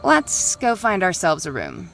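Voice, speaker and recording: A woman voices an ancient female ghost for a visual novel. Her voice sits a little low, and she speaks in a terse, formal, coolly knowing way. Faint music plays behind her. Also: huge pitch swings, creaky, a bit bright, full character voice, low-quality recording. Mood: dry. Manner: formal, dry, measured.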